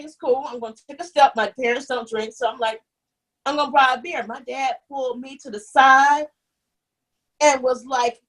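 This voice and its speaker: Give me a young woman with a husky, slightly high voice recounting her past candidly in an interview. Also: poor audio. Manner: animated, restarts often, acts out quoted voices. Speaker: guest. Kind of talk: candid conversational storytelling.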